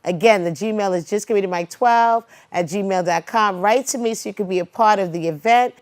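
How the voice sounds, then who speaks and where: A woman's voice: mid-range voice, normal speed. A woman hosting a promotional interview show, speaking in a chatty way and addressing people directly. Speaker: TV show host.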